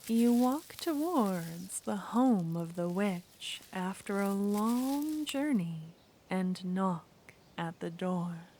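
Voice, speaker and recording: A woman narrating an ASMR roleplay story in a soft-spoken, gently whispering manner. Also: medium pitch, expressive, drawn-out words, a little hiss or hum.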